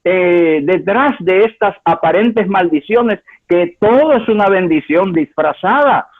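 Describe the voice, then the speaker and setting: A man from Cuba preaching a sermon as pastor, with emphatic delivery that swings between high exclamations and low asides, repeating words for effect. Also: expressive, unhurried. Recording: tinny phone-like line.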